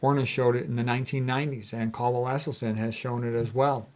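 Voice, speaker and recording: A man's voice: mid-range voice, steady tone, quick pace, a little mellow. Folksy man explaining health quotes. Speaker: health educator presenting book quotes. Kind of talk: informal explainer, reading quotes aloud and commenting.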